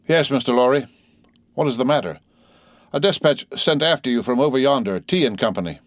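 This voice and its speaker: A man voicing a hoarse messenger on horseback. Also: mid-range voice, a little fast, dry recording, pitched above the performer's own voice, natural voice. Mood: urgent. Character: hoarse mounted messenger calling from the mist.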